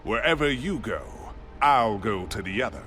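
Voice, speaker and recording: An actor playing an arrogant, impatient rich bully in a video game, with a British accent and a sneering, condescending manner. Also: male voice, mid-range voice, expressive, normal speed, sharp tone, soft background music.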